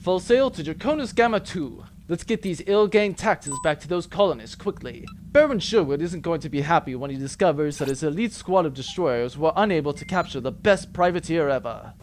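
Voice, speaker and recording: An actor playing a cocky male starship captain in a comedic sci-fi audio drama, firing off brisk, self-satisfied orders. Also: fairly high pitch, lively intonation, a little hiss or hum, lightly acted. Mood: cocky commands.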